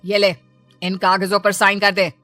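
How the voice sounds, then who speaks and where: Woman as a scheming stepmother, middle-aged, bossy, stern, threatening, mid-pitched, cartoon drama, music underneath. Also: level tone, normal speed, bright, forceful tone, dry recording, lightly acted. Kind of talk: Hindi cartoon story acting.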